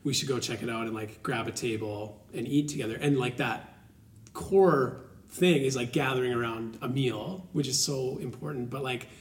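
A man's voice: mid-range voice, moderate pace, husky. A man hosting a podcast, moving between scripted introduction and casual conversation. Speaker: podcast host.

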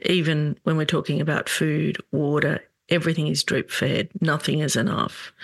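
A middle-aged woman hosting a solo commentary podcast, talking in an earnest, indignant way with halting restarts. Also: sits low, creaky, no room sound. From Australia. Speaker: podcast host.

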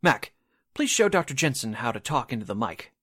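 A man playing a brisk, genial radio station host in a 1940s-style radio drama. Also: mid-range voice, very animated, fairly bright tone, no room sound, studio quality. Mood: genial, businesslike. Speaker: actor in an amateur radio drama re-creation.

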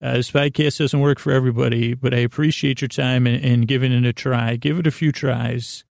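A man hosting a bedtime podcast in a creaky, lulling voice, meandering haltingly and interrupting himself through a silly monologue. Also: medium pitch, steady tone, darkish tone, no room sound, clean studio sound. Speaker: host of a bedtime sleep podcast. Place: small closet, close and dry.

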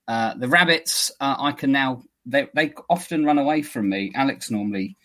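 A man's voice: mid-range voice, normal speed, fairly bright tone. A man hosting a podcast, chatting casually, laughing often and swearing freely. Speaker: podcast host. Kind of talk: casual chat.

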